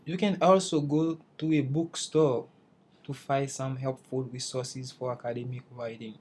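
A man's voice: medium pitch, steady tone, a little slow, a little mellow, slightly rough. A young man from Haiti, an English learner, giving a careful class presentation over slides and restarting phrases as he searches for words. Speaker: English language student presenting.

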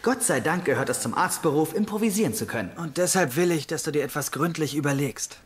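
An actor playing an eager, insecure young doctor in a dubbed TV sitcom, earnest and chatty. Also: male voice, a little high, lively intonation, fast, breathy edge. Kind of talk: German-dubbed TV sitcom acting and narration.

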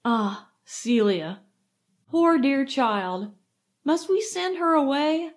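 A woman's voice: high voice, big pitch swings, takes it slowly, heavily acted. A woman reading aloud, voicing a proud, fussy mother who insists she is strong and in charge of her household. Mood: fretful. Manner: insistent, self-assured.

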